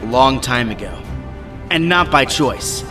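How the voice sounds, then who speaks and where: An actor in a superhero audio drama playing a resolute, clever, defiant former hero, over loud music. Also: male voice, medium pitch, animated, a little slow, close to an everyday voice. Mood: guarded.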